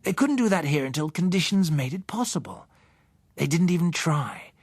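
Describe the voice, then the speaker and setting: A man's voice: fairly high pitch, expressive, moderate pace, husky, no room sound, studio quality, pitched above the performer's own voice. A man playing a suspicious, agitated man in an audiobook, arguing his fears with insistent emphasis. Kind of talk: audiobook character acting.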